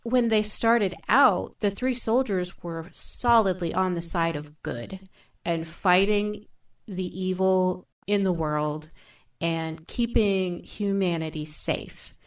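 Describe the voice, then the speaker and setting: A woman in a thoughtful podcast discussion, speaking in a deliberate, measured way with emphatic phrasing. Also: lowish voice, far from the mic.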